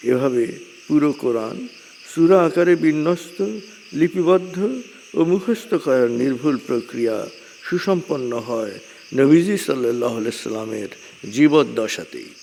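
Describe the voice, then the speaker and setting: Gravelly man lecturing in a reverberant hall. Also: on the high side, a little mellow. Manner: deliberate, short phrases with frequent pauses. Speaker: religious speaker. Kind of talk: measured religious lecture, partly scripted narration. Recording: long hall reverb, clear background noise.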